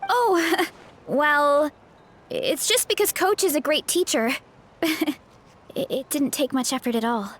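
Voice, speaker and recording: A woman's voice: high voice, takes it slowly. A woman voicing a sweet, modest, self-doubting young fighter from a family orchard in a video game. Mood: bashful. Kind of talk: video game character acting.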